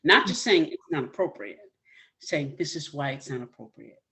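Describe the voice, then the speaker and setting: A woman's voice: deep voice, lively intonation, moderate pace, pushed and bright. A woman hosting an interview podcast, talking enthusiastically and emphatically urging her listeners on. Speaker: podcast host.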